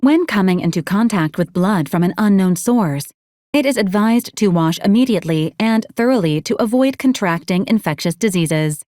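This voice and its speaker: Actress as female robot medical unit for a game: formal, literal, deadpan, mid-pitched, very dry close sound. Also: dry recording, close to an everyday voice. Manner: clinical, formal, deadpan delivery. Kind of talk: video game voice acting.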